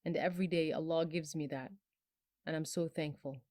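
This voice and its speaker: Earnest low-voiced woman in her twenties. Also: fairly even intonation, normal speed, mellow, no echo. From Bangladesh. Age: 20s. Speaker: storyteller sharing a personal testimony. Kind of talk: personal testimony.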